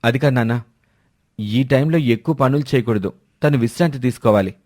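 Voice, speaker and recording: A man's voice: medium pitch, fairly quick, darkish tone, dry recording, clean studio sound, close to an everyday voice. Man playing a protective, earnest elder brother. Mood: firm protest. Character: dutiful, protective grown elder son and husband. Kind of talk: animated moral story acting.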